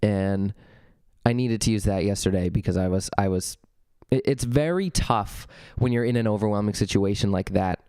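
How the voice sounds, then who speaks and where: A young man with a low, smooth voice that a cold has left slightly gravelly talks through his own podcast. He rambles loosely, full of ums and false starts. The sound is close and dry on a studio mic. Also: moderate pace, dark tone, no room sound. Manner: rambling, many ums and restarts. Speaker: podcast host. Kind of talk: casual solo podcast talk. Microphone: studio vocal mic.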